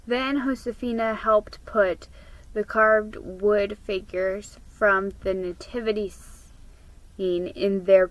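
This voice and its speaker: A woman giving a deliberate dramatic reading of a story, with pauses. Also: mid-range voice, fairly bright tone, rough recording. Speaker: storybook reader. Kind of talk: scripted dramatic story narration.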